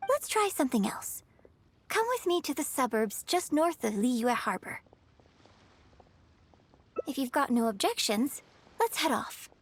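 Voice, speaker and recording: A woman playing a poised young opera performer in a video game, speaking as a patient, articulate acting mentor in measured phrases. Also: high voice, big pitch swings, slightly rough, exaggerated voice. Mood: thoughtful, encouraging.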